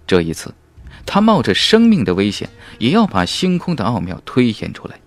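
A man reading a fantasy novel as an audiobook narrator, in a dramatic, measured and emphatic storytelling manner. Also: lowish voice, wildly swooping pitch.

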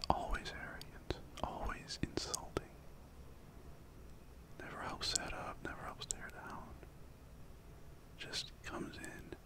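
A man in his thirties whispers right into a close, fuzzy-covered mic, voiceless and breathy throughout. His soft whisper lifts and dips with lively movement as he builds up a fighter's confidence before a bout. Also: extremely high pitch. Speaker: ASMR roleplay host. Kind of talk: ASMR roleplay pep talk. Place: small indoor room. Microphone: furry windscreen mic close in front, earbuds.

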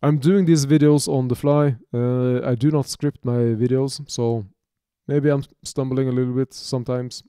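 A man in his thirties from Norway with a Norwegian accent, giving an unscripted music software tutorial from his home studio, talking haltingly with many restarts and fillers. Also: medium pitch, steady tone, clean tone, no room sound. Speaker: music producer demonstrating software.

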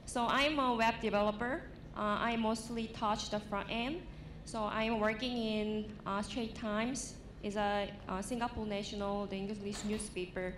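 A woman from South Korea with a Korean accent, speaking English as a second language in a conference talk on a large stage, pausing often with fillers and restarts into a handheld mic. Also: mid-range voice, fairly even intonation, noisy background. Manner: halting, many fillers and restarts.

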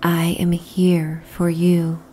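A woman narrating a guided sleep meditation in a soothing, hushed, breathy and unhurried way. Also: lowish voice, completely flat delivery, faint music behind. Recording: soft background ambience soundscape. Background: relaxing ambient soundscape.

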